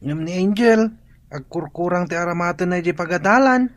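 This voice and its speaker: A woman's voice: lowish voice, lively intonation, moderate pace, no room sound, close to an everyday voice. A woman with an Ilocano accent playing a gossipy, concerned young companion in a radio drama.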